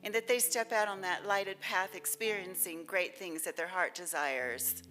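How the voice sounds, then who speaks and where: A woman reading inspiration and leading spoken prayer at a church service, reverent and deliberate, with long pauses. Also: mid-range voice, pushed and bright, faint music behind.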